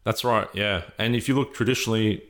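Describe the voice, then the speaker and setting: Low-voiced man explaining patiently. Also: fairly even intonation, fairly quick. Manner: explains patiently, often checks with "right?". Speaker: interview guest. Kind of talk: earnest conversational explaining.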